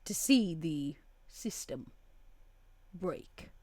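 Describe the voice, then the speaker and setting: A composed vampire woman, played by a woman at a roleplay table, speaks in a lowish, mellow voice. She is measured and sardonic, with dry wit, recorded close and dry. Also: expressive, no echo, full character voice. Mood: cool, wry.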